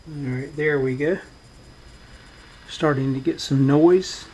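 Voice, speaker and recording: A man giving a hands-on workbench demonstration as host, speaking in a halting, unhurried, matter-of-fact way. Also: a little high, steady tone, dark tone, slight background noise, poor audio. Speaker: host demonstrating a vintage radio repair. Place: indoor workbench.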